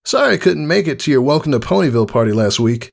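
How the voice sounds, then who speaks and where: A man's voice: fairly high pitch, lively intonation, quick pace, creaky, voice pushed up. A man voicing a brash, cocky young female pegasus pony with casual, slangy talk in a storybook reading. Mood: casual, chatty. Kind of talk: character voice in fan fiction reading.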